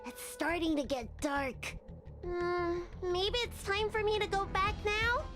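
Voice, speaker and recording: A woman's voice: very high-pitched, fairly even intonation, slow pace. A woman voicing a mischievous, childlike little girl in a game cutscene, teasing and sing-song, with music behind. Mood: playful.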